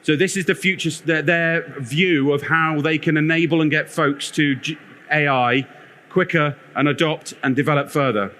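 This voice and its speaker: A man giving a lively conference product talk with a loud, energetic, self-deprecating manner as he wanders the stage. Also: a little high, moderate pace. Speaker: conference speaker, product lead. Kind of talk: live conference presentation. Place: conference theatre.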